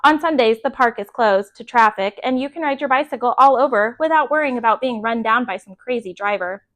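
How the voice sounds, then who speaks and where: A woman's voice: a little high, fairly quick, a bit bright. A woman reading a children's book aloud as a bedtime storyteller, expressively acting out the dialogue.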